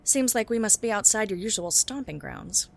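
A woman's voice: medium pitch, normal speed, vocal fry. A woman playing a wry hard-boiled private eye. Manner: wry, deadpan noir narration. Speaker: private detective narrator. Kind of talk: film noir narration.

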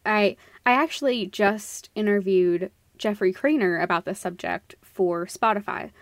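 A woman's voice: medium pitch, measured pace. Effusive woman chatting with very little echo. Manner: informal, effusive, giggly. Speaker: podcast co-host. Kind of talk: casual discussion of books and theatre.

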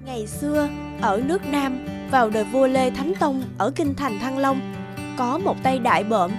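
A woman's voice: high voice, normal speed. A woman narrating a scripted folk tale as a storyteller. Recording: plucked-string music behind.

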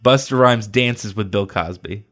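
A man's voice: fairly low pitch, a bit bright. A man co-hosting a casual podcast chat, often restarting his sentences and using fillers. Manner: halting, many restarts and fillers. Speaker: podcast co-host.

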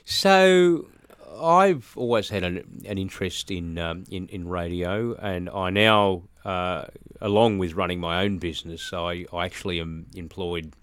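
Deep-voiced Australian man in his forties. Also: a bit bright, smooth. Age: 40s. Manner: halting, many fillers and restarts. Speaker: interview guest, musician. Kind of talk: casual interview talk.

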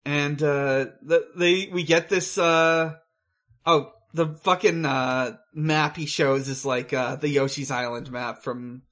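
A man co-hosting a podcast, casually recapping a film in a halting way, searching for words. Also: medium pitch, a bit bright. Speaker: podcast co-host.

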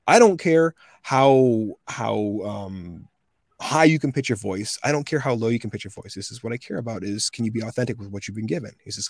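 Man, 30s, voice actor guest, animated storytelling, dry close sound. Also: medium pitch, no echo. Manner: animated storyteller acting out quoted people. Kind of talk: casual interview storytelling.

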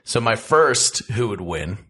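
A young man co-hosting a casual podcast chat, joking and laughing, his voice low from a cough. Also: sing-song, bright, forceful tone, breathy edge. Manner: jokey, laughs often, talks quickly in bursts. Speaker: podcast co-host.

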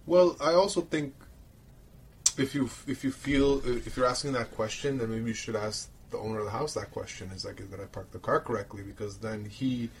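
A man's voice: mid-range voice, moderate pace, slight background noise. A man from Romania who moved to Canada as a child, co-hosting a podcast with rambling, colloquial personal stories. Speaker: podcast host. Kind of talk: casual chat, personal storytelling.

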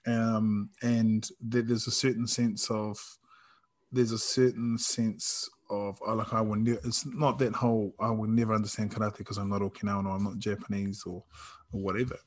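A man from New Zealand with a New Zealand accent and a fairly low voice. He talks casually and reflectively, feeling his way through sentences and often restarting to reword a thought. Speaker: karate practitioner in discussion. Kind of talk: casual conversation.

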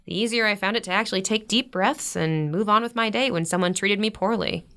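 A woman playing a warm, gently teasing middle-aged mother in a naturalistic audio drama, giving earnest advice. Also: mid-range voice, a little fast. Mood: earnest, reassuring.

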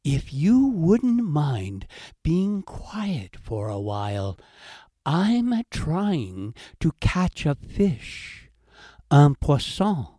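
A man voicing a calm, patient male heron in a storybook tale, speaking slowly and politely. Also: on the high side, no echo, clean studio sound, exaggerated voice. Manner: slow polite measured speech.

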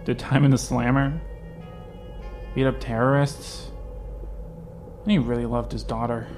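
A man playing an anxious young man in a fan audio drama, talking in a halting, rambling, self-questioning way. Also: mid-range voice, darkish tone. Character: anxious young man facing his identity.

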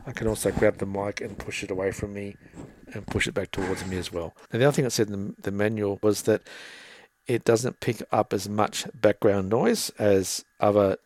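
A man's voice: lowish voice, steady tone, moderate pace, darkish tone. A man casually demoing a broadcast headset against a desktop mic, walking through each part step by step and speaking through both mics in turn. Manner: plain, methodical, explaining step by step. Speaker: gear reviewer. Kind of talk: casual product demo. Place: room with air conditioner running. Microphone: headset boom mic, then desktop dynamic mic.

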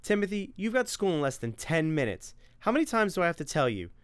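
A man's voice: a little high, sing-song, fast, clean tone, no echo, pitched above the performer's own voice. A man voicing a stern mother who scolds her son for being late. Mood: scolding.

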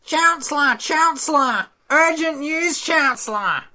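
Panicky high-pitched guard, slow drawn out pleading, really noisy recording. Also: male voice, lively intonation, heavily acted. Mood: urgent. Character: frantic high-voiced palace guard messenger, Vivian.

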